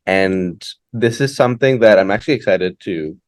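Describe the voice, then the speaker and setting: Young man, late twenties, low-pitched, thoughtful, restarts sentences, dry close sound. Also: moderate pace, no echo. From Hamilton, Ontario, Canada. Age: late 20s. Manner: reflective, thinks aloud with many fillers and restarts. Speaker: guest poet. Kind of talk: conversational interview.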